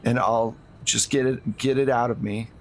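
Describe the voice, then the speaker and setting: A man's voice: mid-range voice, steady tone, moderate pace, lightly acted, poor audio. A man voicing a frightened suburban woman cultist in a tabletop roleplay, stammering and pleading. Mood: anxious confession. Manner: stammering, pleading, repeats words.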